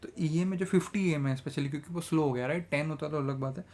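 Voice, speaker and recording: Energetic man teaching trading, sore throat. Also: on the high side, quick pace. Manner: energetic, mixes English phrases, repeats "theek hai". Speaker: trading educator. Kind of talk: casual trading tutorial in Hindi-English mix.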